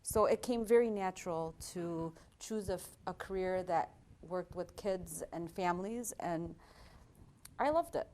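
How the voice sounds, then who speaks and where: A woman's voice: lowish voice, a little slow, a little hiss or hum. A woman in her forties, a guest on an interview show, talking earnestly with frequent fillers. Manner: warm and earnest, with many fillers. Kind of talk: casual interview talk.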